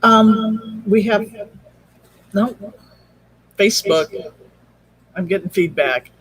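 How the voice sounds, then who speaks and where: A man speaking as a podcast guest and producer in casual, halting chat, thinking aloud. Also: high-pitched, a bit bright, husky, no room sound, rough recording.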